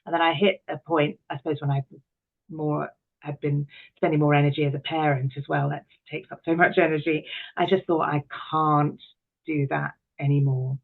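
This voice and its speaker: A woman's voice: low-pitched, little pitch movement, normal speed, gravelly, phone-quality sound. A middle-aged woman, talking candidly and expressively as an interview guest. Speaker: interview guest, actress, writer and director.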